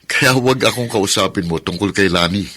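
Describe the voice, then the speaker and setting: A Filipino actor playing a firm, reasonable cousin in a radio drama, measured and gently admonishing. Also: male voice, fairly low pitch. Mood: firm, reproving. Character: firm, reasonable male cousin defending a shamed relative.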